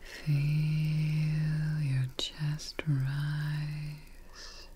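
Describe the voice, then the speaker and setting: Very slow, whispery woman guiding meditation. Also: deep voice, completely flat delivery, very noisy. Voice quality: breathy, soft, mostly whispered. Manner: soothing, gentle, unhurried, pausing often between short phrases. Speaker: ASMR host guiding meditation. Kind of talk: soft-spoken, whispered ASMR guided meditation. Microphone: close ASMR microphone.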